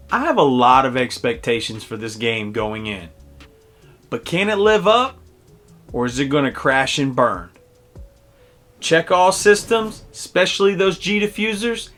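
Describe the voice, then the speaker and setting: A man's voice: medium pitch, a bit bright. A middle-aged man hosting a casual, personal game review, talking in a nostalgic, enthusiastic storytelling way. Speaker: video game reviewer and host. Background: music under the voice.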